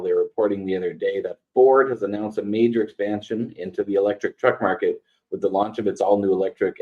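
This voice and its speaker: A man with a lowish voice co-hosts an industry podcast, weighing his opinions carefully and leaning on fillers. His audio comes through dull and lo-fi. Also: darkish tone. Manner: hedging, filler-heavy, measured. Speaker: podcast co-host. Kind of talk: casual industry chat.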